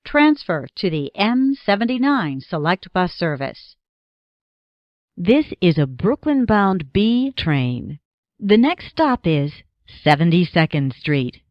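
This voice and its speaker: An American woman reading scripted subway announcements as an automated announcer, in measured, segmented phrases. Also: lowish voice, very animated, no room sound, muffled recording.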